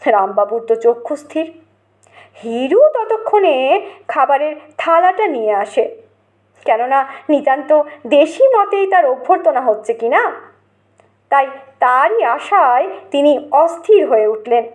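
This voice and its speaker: A woman storyteller reading a comic short story in an expressive, dramatized way. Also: high voice, unhurried. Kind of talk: scripted comic story narration.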